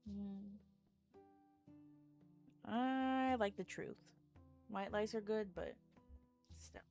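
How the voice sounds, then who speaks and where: A woman's voice: mid-range voice, extremely slow, gravelly, darkish tone. A woman game streamer chatting casually with her viewers. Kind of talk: casual livestream chat. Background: faint music.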